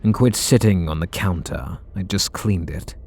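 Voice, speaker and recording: A man's voice: low voice, normal speed, darkish tone, slight background noise, natural voice. A man voicing a bitter, sarcastic supervillain in an ASMR roleplay audio drama, talking in a sardonic, mocking way. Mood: sneering. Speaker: voice actor.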